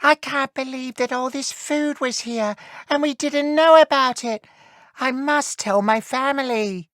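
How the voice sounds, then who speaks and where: Woman voicing a high-pitched little elf boy. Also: unhurried, fairly bright tone, no echo, clean studio sound. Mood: excited. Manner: earnest, childlike. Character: small frightened, tender-hearted young male elf. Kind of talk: children's storybook read aloud.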